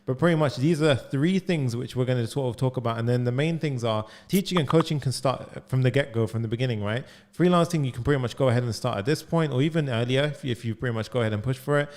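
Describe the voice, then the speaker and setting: Energetic young man coaching live. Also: medium pitch. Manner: energetic, fast, full of "like" and "right?". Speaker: coding coach. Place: home studio room. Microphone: studio mic on boom arm, headphones.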